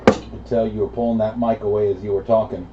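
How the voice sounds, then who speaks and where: Low-voiced man on noisy CB radio. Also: little pitch movement, fast. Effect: CB radio transmission. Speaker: CB radio operator. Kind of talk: casual radio chat. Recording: muffled CB radio reception, loud static noise.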